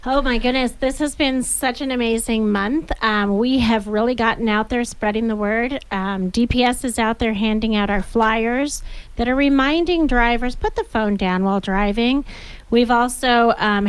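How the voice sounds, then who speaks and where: A woman's voice: a little high, normal speed, clear, resonant tone, dry recording, poor audio. A woman speaking as a nonprofit guest on a radio show, enthusiastic and upbeat, with frequent fillers. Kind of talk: casual interview talk. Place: radio studio.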